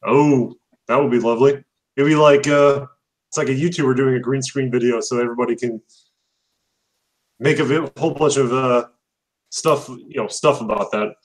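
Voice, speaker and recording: A man's voice: mid-range voice, fairly even intonation, normal speed. Young guy hosting, rambles, lots of uhs and laughs, average voice. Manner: rambling, filler-heavy, laughs often. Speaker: host. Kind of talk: casual chat.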